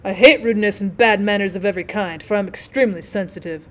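A woman's voice: medium pitch, fast talker, natural voice. A woman voicing a vain, self-obsessed male firework rocket in a storybook reading, with haughty, indignant, self-important delivery. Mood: haughty, huffy.

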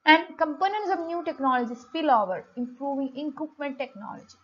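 Woman, high voice, halting explanatory lecture, frequent pauses, dull lo-fi recording. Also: lively intonation. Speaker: lecturer, host of an economics channel. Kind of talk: explanatory lesson read from slides.

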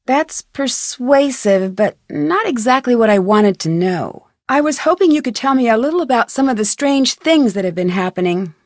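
A woman's voice: a little high, lively intonation, normal speed, few pauses, no room sound, clean studio sound. A woman playing a curious, friendly young amateur detective in a video game, with upbeat, conversational scripted delivery. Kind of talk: scripted video game dialogue and tutorial narration.

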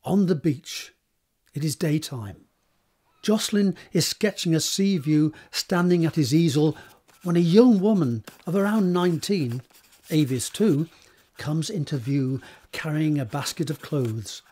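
A man narrates a drama script, setting scenes and describing action. His voice sits a little high for a man and has a husky, unpolished grain. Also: measured pace, warm tone. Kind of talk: scripted narration of stage directions.

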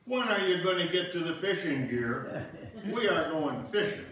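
Hearty, folksy fishing buddy played by a man, eager, slightly high voice, distant echoey stage recording. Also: animated, moderate pace, fairly bright tone, a little hiss or hum. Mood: impatient, eager. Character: Murph, eager, easygoing beer-loving ice fisherman. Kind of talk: amateur reader's theater, read from script. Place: on stage in a church, audience seated. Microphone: far camera. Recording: echoey church hall, distant camera.

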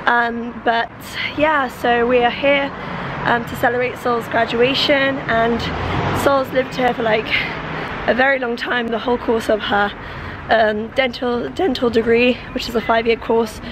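A hoarse young woman, losing her voice, chatting casually and giggling to a camera held at arm's length while walking a city street. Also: on the high side, level tone, measured pace, noisy background. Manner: chatty, giggly, rambling with fillers. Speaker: vlogger. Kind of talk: casual travel vlog.

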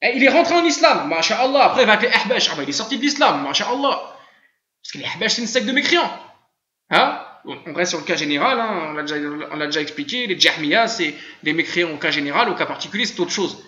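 A man with a high voice and an Arabic-tinged French accent teaches a live religious class over voice chat. He talks in an animated way, keeps asking rhetorical questions and often chuckles. The sound is roomy and dull, like lo-fi chat-room audio. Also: fast talker. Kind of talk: live online religious lesson.

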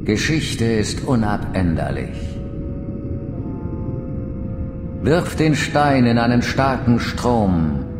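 A man's voice: medium pitch, very animated, takes it slowly. A male actor playing an ancient, fatalistic vampire lord who schemes and speaks solemnly and theatrically in a video game cutscene. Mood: grave, fatalistic. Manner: solemn, theatrical, oratorical delivery. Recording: echoey hall reverb, loud music behind.

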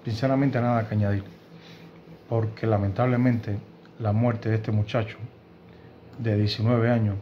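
A man in his fifties from Cuba with a Cuban Spanish accent, giving earnest, indignant news commentary to camera from a room at home, often pausing and restarting. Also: on the low side, little pitch movement, highs cut off, a little hiss or hum. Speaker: host of a news commentary channel. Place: home room with bookshelves, indoors.

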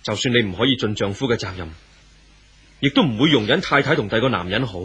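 An actor playing a frank, troubled lover in a 1970s radio drama, firm and reasoning, with a Hong Kong Cantonese accent. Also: male voice, on the low side, expressive, fast, fairly bright tone, husky, highs cut off, exaggerated voice. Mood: firm, reproachful. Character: frank, troubled lover confronting a married man.

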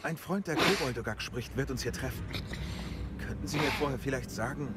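An actor playing a calm, inquisitive young male wizard student in a German game dub. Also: mid-range voice, brisk, very noisy, lightly acted. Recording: game audio with music behind.

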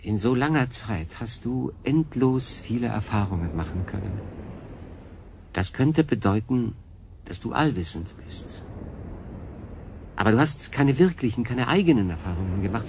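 An actor playing an earnest, brooding stranded man in a science fiction radio drama, speaking in a deliberate, probing way. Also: medium pitch, expressive, vocal fry, darkish tone, a little hiss or hum. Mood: pensive, resigned.

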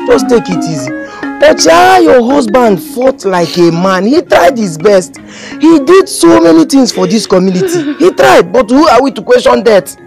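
A lamenting village mourner. Her high voice carries over loud music. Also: gravelly, exaggerated voice. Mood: consoling. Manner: wailing, lamenting.

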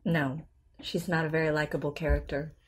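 A composed woman therapist in an audio drama. Her voice sits low and is a little husky. She questions carefully and patiently, with professional, measured phrasing. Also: level tone. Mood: gently probing. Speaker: actor playing a therapist. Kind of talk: naturalistic audio drama dialogue.

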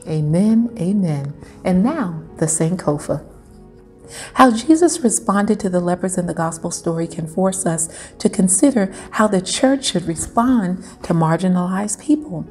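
A middle-aged woman reads a Sunday school lesson in a small indoor room, her voice low and mellow. She keeps a steady reading pace and lifts into emphatic, preacher-like rises. Soft music plays faintly behind her. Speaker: church school teacher. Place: small indoor room before a wall screen.

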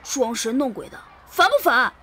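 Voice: high voice, big pitch swings, moderate pace, bright, forceful tone, some chatter behind, voice pushed up, full character voice. A narrator voicing an easily annoyed schoolboy in a children's audio drama, complaining in a huffy, irritated way.

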